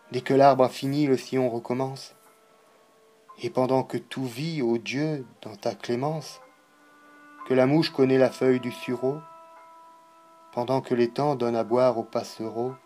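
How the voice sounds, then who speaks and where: A man giving a solemn, dramatic poetry recitation, declaiming in measured phrases with pauses. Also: mid-range voice, a little mellow.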